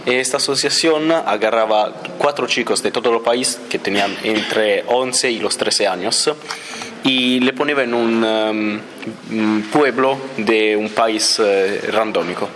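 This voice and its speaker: A man's voice: fairly low pitch, unhurried, a bit bright, a little hiss or hum. A young man from Italy, a native Italian speaker, answering interview questions hesitantly and searching for words. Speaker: exchange student guest.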